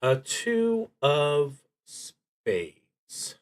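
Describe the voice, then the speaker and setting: Slow, halting man narrating solo roleplay. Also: a little high, wildly swooping pitch. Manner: hesitant, halting, trailing off mid-thought. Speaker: solo tabletop game player and streamer. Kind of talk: improvised live commentary on a solo roleplaying game, thinking aloud.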